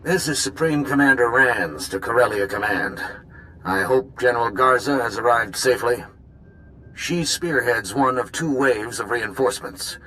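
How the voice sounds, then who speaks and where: An actor playing a resolute military supreme commander in a video game, giving a formal, firm briefing. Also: male voice, normal speed, pushed and bright, lightly acted. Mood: stern, resolute. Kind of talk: scripted video game acting. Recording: noisy, rumbling military transmission.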